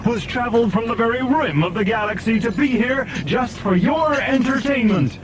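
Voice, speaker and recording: A man's voice: very high-pitched, moderate pace, noisy background. An actor playing a polite, formal male train steward in an audio drama. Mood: showy, grand. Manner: formal, deferential.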